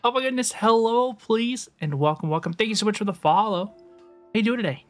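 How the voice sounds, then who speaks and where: A woman's voice: a little high, animated, fairly quick. Chatty woman streamer, music behind. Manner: chatty, slangy, repeats phrases. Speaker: game streamer. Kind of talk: casual livestream chat over gameplay.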